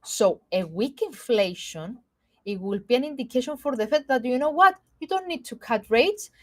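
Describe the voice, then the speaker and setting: A woman from Cyprus with a Greek Cypriot accent, explaining markets in a live webinar, halting with many fillers and restarts and often checking in with "okay?". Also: a little high, lively intonation. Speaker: market analyst.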